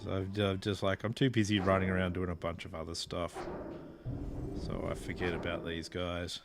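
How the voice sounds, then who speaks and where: Man, low voice, unhurried game commentary, self-deprecating, clear game sounds behind. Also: background noise. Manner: relaxed, rambling, self-deprecating. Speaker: gameplay streamer. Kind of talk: casual gameplay commentary.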